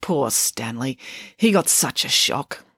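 A woman voicing a scatterbrained, chatty mother who is playful and whimsical, talking in a raised, animated way. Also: rumbling low, slow pace, husky, dry recording, exaggerated voice.

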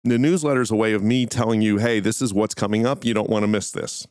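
Low, clear-voiced man chatting casually. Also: steady tone, fast talker, darkish tone, no room sound, studio quality. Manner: informal, rambling, conversational. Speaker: podcast host. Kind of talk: casual solo talk to listeners.

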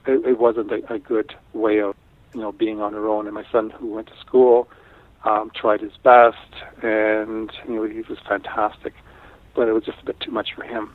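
Middle-aged man, first language Italian, deep-ish voice, phone-line sound, dry and close. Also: level tone, no echo. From Canada. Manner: thoughtful, unhurried, frequent restarts. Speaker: guest artist. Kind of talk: casual interview talk.

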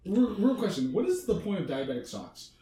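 A man's voice: high voice, sing-song, fairly quick. A young man co-hosting a casual podcast, jokey and fond of odd questions. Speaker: podcast co-host, editor. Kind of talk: casual chat. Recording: roomy echo, loud background chatter.

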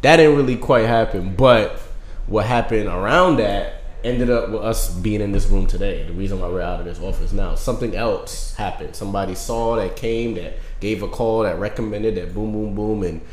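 Lively young man from New Jersey. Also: fairly low pitch, normal speed. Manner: animated storytelling with slang. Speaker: podcast guest, entrepreneur. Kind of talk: casual podcast chat. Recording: echoey room with faint hiss.

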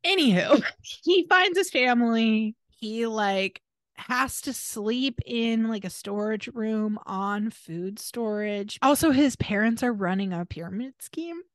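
A woman's voice: fairly high pitch, animated, takes it slowly, dry recording. A woman co-hosting a podcast, chatting casually through a film recap in a candid, opinionated, slangy way. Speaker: podcast co-host.

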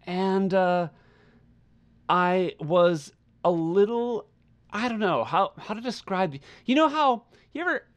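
A man's voice: high-pitched, expressive, takes it slowly. A man co-hosting a podcast, giving a casual review and searching for his words as he repeats phrases. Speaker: podcast co-host reviewing music. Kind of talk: casual conversational album review.

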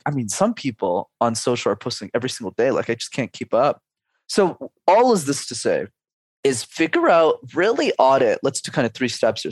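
A man's voice: a little high, no echo. A man in his twenties or thirties, a speech coach, talking energetically in a podcast interview and laying out advice in numbered steps. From Canada.

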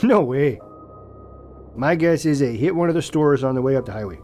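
A man acts out a local Canadian Mountie in an audio drama, earnest and cautious. He stammers and hesitates as he explains, with a lively swing in pitch. Music plays clearly behind him. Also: normal speed, close to an everyday voice. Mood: earnest, insistent.

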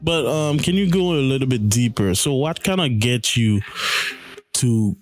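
Male podcast host, mid pitch, halting questions, faint music underneath. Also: animated. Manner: halting questions, frequent affirmations. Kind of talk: podcast interview.

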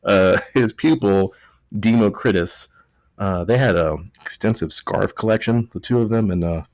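A man's voice: on the low side, normal speed, a little mellow, no echo. A man with a Texan accent hosting a podcast, a few beers in, rambling and joking as he corrects himself. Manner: rambling, jokey, self-correcting. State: tipsy from beer. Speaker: podcast host. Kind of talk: casual joking chat.

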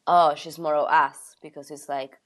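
A woman comedian who is a podcast guest, talking in casual chat. She speaks haltingly and laughs often between phrases. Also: low-pitched, flat delivery.

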